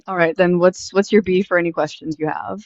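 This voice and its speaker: A woman's voice: on the low side, steady tone, moderate pace, dry recording, dull audio. A woman in her twenties doing a casual street interview, chatty and friendly with lots of fillers. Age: 20s. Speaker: host interviewing strangers.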